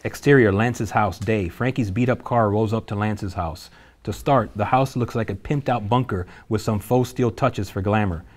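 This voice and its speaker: Narrator for a table read: a low-voiced man, steady and matter-of-fact. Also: normal speed. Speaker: narrator reading stage directions.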